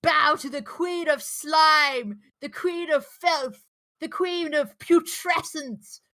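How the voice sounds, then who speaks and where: A woman voicing a furious ancient peasant woman in an amateur table read, jeering and declaiming with drawn-out, shrieking contempt. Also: sits high, pushed and bright, pitched above the performer's own voice, exaggerated voice. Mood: scornful fury.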